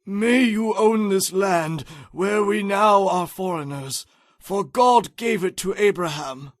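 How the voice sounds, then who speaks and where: Slow, high-voiced old patriarch. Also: male voice, no echo, clean studio sound. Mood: solemn blessing. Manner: slow, solemn, deliberate. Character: elderly patriarch father, solemn, blessing his son. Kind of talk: dramatized audio Bible acting.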